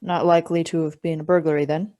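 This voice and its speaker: Young woman as junior male officer, radio play, slightly low voice, polite, measured, deferential. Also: flat delivery, mellow, slight background noise, close to an everyday voice. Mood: polite, curious. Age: young adult. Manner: courteous, measured, formal. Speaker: student actor.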